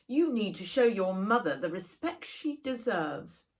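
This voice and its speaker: A woman's voice: mid-range voice, sing-song, measured pace. Woman doing a strict, scolding storybook nanny. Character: stern, bossy, bushy-browed old-country nanny.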